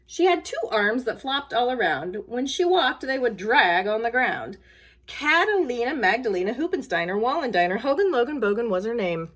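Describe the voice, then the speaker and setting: A woman's voice: fairly high pitch, very animated, normal speed, fairly bright tone, a little hiss or hum. A woman speaking casually and simply to children, in the manner of a school nurse at story time. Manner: plain, simple, child-directed phrasing. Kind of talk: casual talk to children and read-aloud storytelling.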